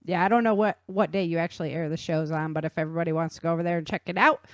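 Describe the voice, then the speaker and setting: A woman's voice: lowish voice, fairly even intonation, very fast, dry recording, studio quality. A woman hosting a podcast in casual chatty conversation. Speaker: podcast host.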